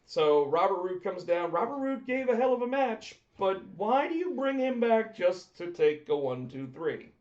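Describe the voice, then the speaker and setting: A man co-hosting a casual wrestling podcast, talking excitedly and repeating himself, with his voice going hoarse. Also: high voice, moderate pace, hardly any pauses. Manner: excited, talkative, repeats words when starting. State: losing his voice. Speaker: podcast co-host. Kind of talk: casual chat about pro wrestling. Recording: roomy, dull lo-fi sound.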